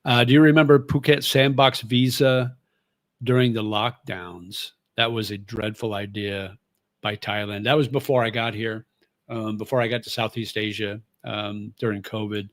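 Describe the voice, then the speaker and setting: A man's voice: on the low side, steady tone, moderate pace, no echo. Middle-aged man, ex-radio host, casual livestream chat, rambling and easygoing, dry close sound. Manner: rambling, easygoing, frequent fillers and chuckles. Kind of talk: casual live chat reading viewer comments.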